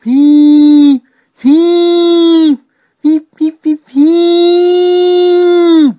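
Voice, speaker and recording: A cheeky little storybook engine, acted out by a man, pretends to be a ghost. The voice is high, swooping and spooky, drawn out into wails and shrieks. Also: sing-song, measured pace, no echo, heavily acted. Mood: spooky shrieking. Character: cheeky young male tank engine playing spooky ghost.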